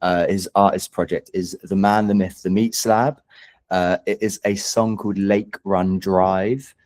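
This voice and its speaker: A man's voice: low-pitched, fairly even intonation, measured pace, darkish tone. A man who is a singer-songwriter guest in a casual interview, speaking in a hesitant, thoughtful, self-deprecating way.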